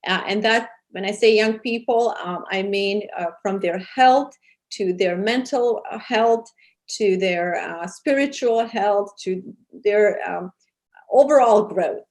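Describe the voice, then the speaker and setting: Female interview guest, Serbian accent, medium pitch, sincere, searches for phrasing as she explains. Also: takes it slowly. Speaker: interview guest, program producer and charity founder. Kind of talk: interview answers.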